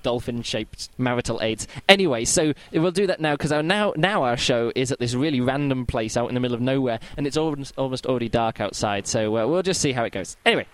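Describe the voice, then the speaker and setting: A man with a croaky, worn voice, a street performer chatting casually and rambling through stories for a podcast. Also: medium pitch, quick pace, few pauses, no echo. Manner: chatty, rambling, lively storytelling. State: hoarse, croaky voice. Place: outdoors at a festival, later a hotel room.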